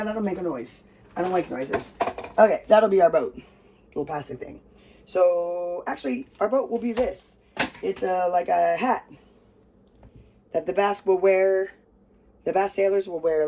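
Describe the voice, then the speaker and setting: An American storyteller talks loudly and loosely, drifting off on tangents and dropping casual curses while telling a family story with props. The voice sits at an ordinary middle pitch. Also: a little slow, creaky, slight background noise. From United States. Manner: loud, rambling, swears freely.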